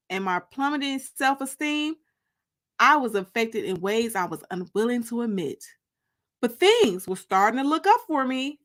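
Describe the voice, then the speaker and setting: Woman reading an audiobook, kinda high voice, very up and down, expressive, stretches out phrase endings. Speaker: author reading her book. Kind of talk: dramatic audiobook narration.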